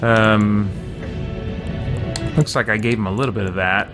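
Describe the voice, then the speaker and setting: A man's voice: lowish voice, fairly even intonation, measured pace. Man thinking aloud over game music. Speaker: let's play host. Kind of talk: casual game commentary.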